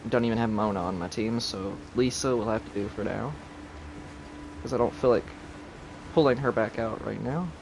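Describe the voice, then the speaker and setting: A man's voice: on the low side, moderate pace, vocal fry, background noise. A man giving casual live commentary as he streams a video game.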